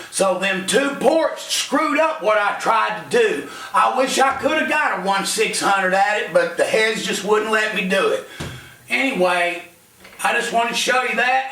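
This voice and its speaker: A man's voice: high-pitched, pushed and bright. A man losing his voice, walking viewers through a cylinder head job in a folksy, explanatory workshop demonstration. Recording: echoey workshop.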